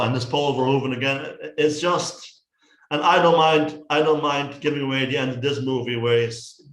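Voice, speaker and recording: Dutch man with a Dutch accent, casual film chat, hesitant and repetitive, mid-pitched, roomy echo. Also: a bit bright. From Netherlands. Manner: halting, repeats words and restarts often. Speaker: co-host of a movie review show.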